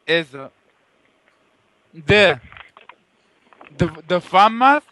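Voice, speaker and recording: A man playing an insistent, boastful foreign gamer on a prank call, halting and repetitive, with a put-on Moroccan accent. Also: sits high, very animated, bright, forceful tone, exaggerated voice, poor audio. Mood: halting, polite.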